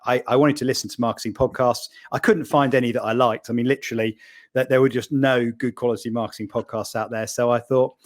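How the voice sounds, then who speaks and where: A man's voice: mid-range voice, steady tone, fast. A man in his forties from Wales, a guest on a podcast, talking in a friendly, rambling way and laughing easily. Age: 40s. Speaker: podcast guest, marketing executive. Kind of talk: casual interview.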